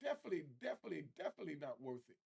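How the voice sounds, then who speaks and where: A man's voice: fairly high pitch, very animated, rapid-fire, creaky, darkish tone, breathy edge. A man giving informal opinion commentary to viewers, talking in street slang with emphatic repetition.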